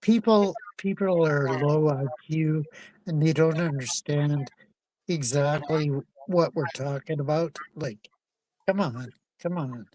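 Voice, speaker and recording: A man on a livestream, ranting casually in a halting, repetitive, emphatic way. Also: on the high side, darkish tone, slight background noise, rough recording. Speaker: livestream guest.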